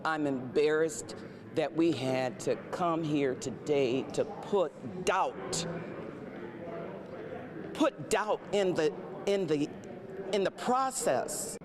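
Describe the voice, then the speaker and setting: A woman with an American accent making halting, indignant remarks as a politician. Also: deep voice, lively intonation. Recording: outdoor crowd noise behind.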